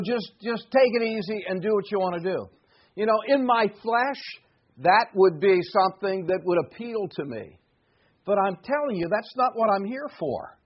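Man in his sixties, preacher, high pitch, emphatic repetition, earnest sermon, muffled lo-fi sound. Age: 60s. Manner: earnest, emphatic with repeated phrases.

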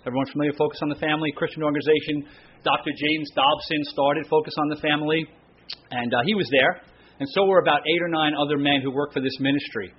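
Middle-aged male pastor with a loud, slightly high voice, chatty and self-deprecating at the pulpit mic; slightly dull recording with faint room noise. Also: steady tone. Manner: chatty, self-deprecating storyteller, slows down for scripture reading. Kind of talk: sermon with casual anecdotes. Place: church sanctuary. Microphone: stand microphone, stays close.

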